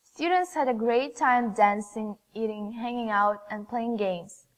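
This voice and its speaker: A woman's voice: a little high, measured pace. A young woman in her teens reading scripted school news announcements as a student anchor. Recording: reverberant room.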